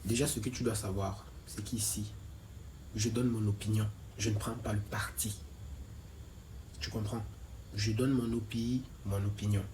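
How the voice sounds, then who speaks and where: Low-voiced man lecturing with rhetorical questions. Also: fairly even intonation, measured pace, pauses often, darkish tone, slight background noise. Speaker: commentator replying to a video. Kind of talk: opinion reply video.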